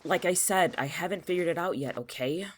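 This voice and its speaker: A man's voice: a little high, a little fast, close to an everyday voice. A young man acting in an audio drama as a cynical, anxious, jobless atheist, with a sardonic, argumentative way of talking. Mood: alarmed. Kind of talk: naturalistic audio fiction dialogue.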